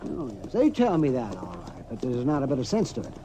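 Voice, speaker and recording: An actor playing a crusty, grumbling frontier town doctor in a folksy, fussy 1950s radio western style. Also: male voice, mid-range voice, wildly swooping pitch, a little fast, dark tone, dull audio. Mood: grumbling, exasperated. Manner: folksy, fussy, exasperated muttering and chuckling. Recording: noisy old radio drama recording.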